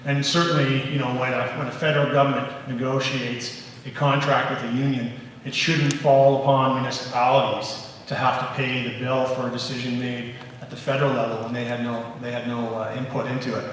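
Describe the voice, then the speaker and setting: A man, a sitting MP, giving a measured answer at a candidates' forum. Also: mid-range voice, level tone, a bit bright, slight background noise. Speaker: sitting MP running for re-election. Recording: echoey hall, mic from far off.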